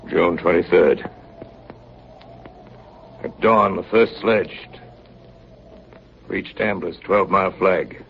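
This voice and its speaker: Male solemn chief engineer narrator, low and measured, dull 1930s radio sound. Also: gravelly, heavily acted. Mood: grave. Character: grave, hardy chief engineer recounting an Arctic disaster. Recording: muffled, noisy 1930s radio broadcast recording.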